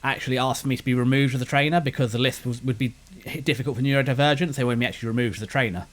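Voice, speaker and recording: A man's voice: medium pitch, very fast. A man from West London with a London accent and a slight lisp, chatting casually and rambling, his words blurring together as he restarts his sentences. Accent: London English. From West London, England. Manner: meandering with frequent restarts. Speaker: anime channel host.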